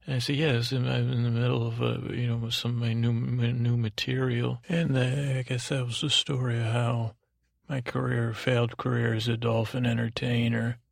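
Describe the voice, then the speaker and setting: A man's voice: mid-range voice, little pitch movement, moderate pace, creaky, dry recording. A man hosting a sleep podcast, rambling in a soothing, lulling tone with a hesitant, digressive, self-deprecating manner. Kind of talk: rambling, meandering bedtime monologue.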